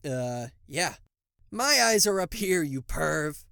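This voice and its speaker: A man's voice: fairly high pitch, big pitch swings, takes it slowly, creaky, sharp tone, clear, resonant tone, no room sound, studio quality, heavily acted. A man playing a cocky, easily flustered king of hell in an ASMR roleplay, sarcastic, indignant and theatrical, speaking to the listener.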